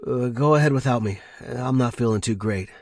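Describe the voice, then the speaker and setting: A man's voice: mid-range voice, brisk, a little mellow, dry recording, studio quality, natural voice. A man voicing a hungover, worried young man in audio fiction, slangy, hesitant and reluctant. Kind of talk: audio fiction character acting.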